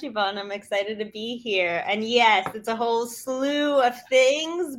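A woman from Poland, a guest on a podcast, telling her story in an upbeat, reflective way. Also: on the high side. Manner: upbeat and reflective, with light laughs.

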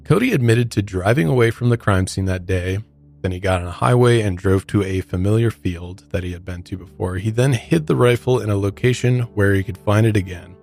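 A man's voice: fairly low pitch, fairly quick, vocal fry, a little mellow. An American man hosting a podcast, telling a true crime story in a conversational narrating style. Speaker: podcast host.

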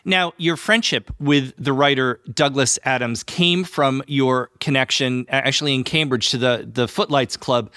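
A man's voice: medium pitch, moderate pace, few pauses, pushed and bright, dry recording. Male interviewer, close dry sound. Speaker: interviewer, host. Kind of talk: prepared interview questions, conversational.

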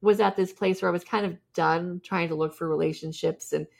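A woman's voice: on the low side, steady tone, a little fast, creaky, darkish tone. A woman guest candidly telling her life story in a casual interview, often laughing as she talks.

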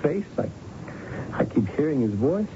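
An actor playing a guilt-ridden, brooding man in a radio drama, speaking haltingly and repeating himself. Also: a little high, very animated, background noise. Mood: haunted. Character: guilt-ridden man haunted by a policeman's killing. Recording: muffled vintage radio, loud music behind.

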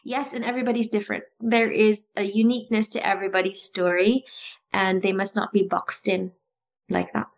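A woman co-hosting a casual talk about improv, animated and often restarting her sentences with a laugh. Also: medium pitch, brisk. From Philippines. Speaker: improv podcast co-host. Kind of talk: casual chat.

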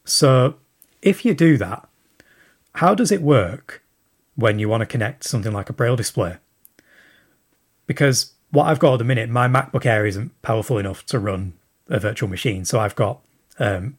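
Husky-voiced man leaving a rambling message. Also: mid-range voice. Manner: rambling, thinking aloud with many fillers. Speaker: listener sending in a question. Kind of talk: recorded listener message.

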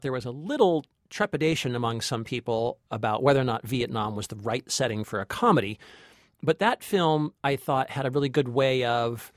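A man's voice: medium pitch, no room sound. Chatty male film critic, dry close sound. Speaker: film critic co-hosting a movie podcast. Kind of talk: conversational film review podcast.

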